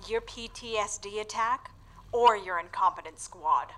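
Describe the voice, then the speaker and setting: A woman's voice: mid-range voice, a little slow, fairly bright tone, lightly acted, rough recording. A woman voicing a scornful female raccoon general giving clipped, scolding commands.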